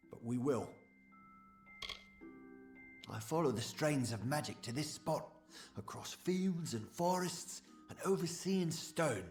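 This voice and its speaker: Man acting an eager village magic-crafter, earnest, deferential, hesitant, mid-pitched, fantasy game dialogue, roomy echo. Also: darkish tone, natural voice. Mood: eager, humble. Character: eager, self-effacing male village sagecrafter. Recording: roomy echo, background music.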